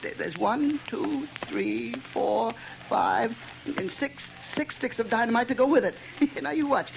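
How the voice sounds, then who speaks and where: A man's voice: extremely high pitch, sing-song, a little slow, gravelly, heavily acted, rough recording. A man playing an unhinged bomber who stammers, giggles and talks like a child, in old-time radio drama style. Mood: gleeful. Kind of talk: 1930s radio drama acting. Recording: old radio recording with noise.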